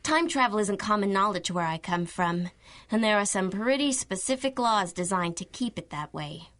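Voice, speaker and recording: A woman's voice: mid-range voice, animated, moderate pace, fairly bright tone, natural voice. A woman playing a confident, playful young time-traveling superhero in a radio drama, earnest with teasing asides.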